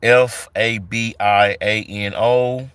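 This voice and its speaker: A man in his forties talks on a live stream, reading comments and greeting viewers. His voice is bright and forceful, his delivery loose and repetitive with plenty of fillers. The sound is dry and close to the mic. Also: on the low side, steady tone, takes it slowly, clear, resonant tone, no room sound. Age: 40s. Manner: reads chat comments aloud and greets viewers. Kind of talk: casual live stream chat.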